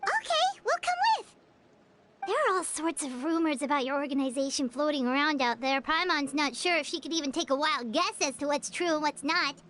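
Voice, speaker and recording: A woman voicing a tiny, excitable, greedy fairy companion in a video game, with a squeaky childlike voice. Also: fairly quick, slight background noise. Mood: excited. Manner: exclamatory, speaks of herself in third person. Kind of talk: animated video game voice acting.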